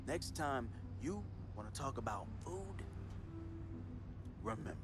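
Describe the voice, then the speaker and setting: An actor playing a boastful, needling young American friend of a basketball star in a video game cutscene, slangy, theatrical and mocking. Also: male voice, very high-pitched, vocal fry, full character voice. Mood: menacing, resentful. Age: young adult. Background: music.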